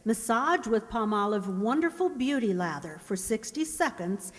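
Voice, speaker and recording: A woman's voice: on the high side, expressive, normal speed, close to an everyday voice. A woman playing an instructive announcer reading a scripted 1940s-style radio commercial. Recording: hall-like, long reverb.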